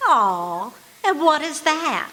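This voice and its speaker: An actress playing a wry, patient, teasing wife in old-time radio comedy style. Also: female voice, high-pitched, sing-song, slow pace, sharp tone, heavily acted. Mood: polite, curious. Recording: live stage recording with faint hiss.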